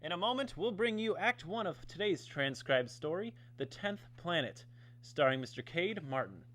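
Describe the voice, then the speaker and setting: A man's voice: high-pitched, lively intonation, moderate pace, fairly bright tone. An American man announcing in a polished, emphatic 1950s radio style, as if presenting a show and its sponsor.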